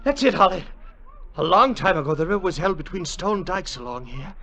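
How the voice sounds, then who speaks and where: An actor playing an excitable explorer in a 1940s radio adventure, exclamatory and emphatic. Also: male voice, high voice, fairly quick, creaky, exaggerated voice. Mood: excited. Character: eager, excitable young male explorer.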